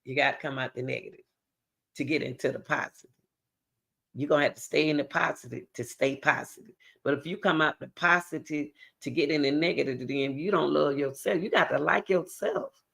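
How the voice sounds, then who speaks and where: A woman's voice: sits low, a little fast, creaky, a bit bright. A middle-aged woman, hosting her own channel and giving a preachy, repetitive motivational talk straight to her viewers. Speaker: channel host.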